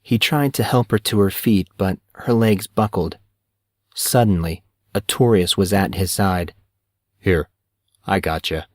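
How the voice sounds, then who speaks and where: A man narrating a fantasy novel in a measured, even storytelling manner. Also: fairly low pitch, no echo, studio quality. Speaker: audiobook narrator.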